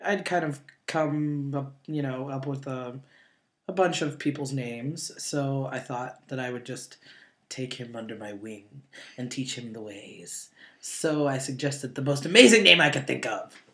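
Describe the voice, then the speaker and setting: A man in his late twenties, co-hosting a casual chat and talking in a relaxed way with many fillers and pauses. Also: medium pitch. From northeastern Ohio. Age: 20s. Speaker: co-host.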